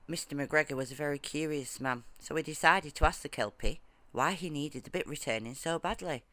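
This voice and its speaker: A storyteller narrating a folktale from a script in measured, formal storybook phrasing. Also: medium pitch, pushed and bright, no echo.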